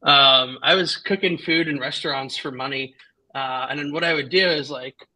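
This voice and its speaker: A man in his thirties co-hosts a relaxed tech talk over a video call. He rambles and fills his sentences with little verbal tics. His voice is bright and sits a bit high. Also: moderate pace, poor audio. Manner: rambling, full of "like" and "you know". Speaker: podcast co-host. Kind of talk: casual chat.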